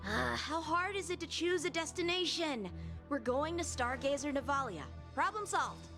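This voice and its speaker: An actress playing a blunt, impatient young companion in a game, quick with snarky remarks. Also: female voice, high voice, lively intonation. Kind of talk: game voice acting. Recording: game music behind.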